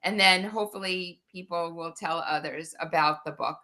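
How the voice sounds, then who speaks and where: A woman answering interview questions as an author, conversational and halting, often repeating words and trailing off. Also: on the low side, pushed and bright.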